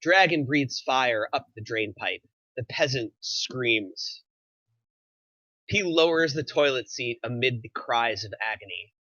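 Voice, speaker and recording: A man reads stage directions as narrator of a script table read. His voice is medium-pitched with a bright, crisp edge. The recording is dry and a little dull. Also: expressive, takes it slowly, no room sound.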